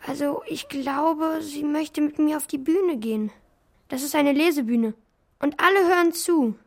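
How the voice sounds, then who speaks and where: An actor in a children's radio play voicing an excitable, shy young schoolboy with a candid, hesitant manner. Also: high-pitched, measured pace, creaky. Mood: anxious.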